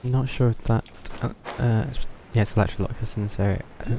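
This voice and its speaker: A man's voice: lowish voice, fairly even intonation, fairly quick, gravelly, dark tone, slight background noise. A man giving casual gameplay commentary on a livestream, muttering hesitantly as he thinks aloud, with game music behind him. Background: video game music.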